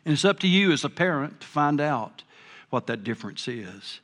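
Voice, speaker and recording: A man's voice: on the high side, very animated, normal speed, a bit bright. An older man preaching a sermon, earnest and folksy, with a big voice. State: a bit hard of hearing. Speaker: pastor.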